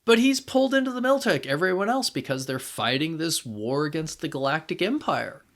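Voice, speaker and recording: A man co-hosting a podcast, talking casually as a fan and thinking aloud in a halting way. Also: on the high side, lively intonation, a bit bright. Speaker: podcast co-host.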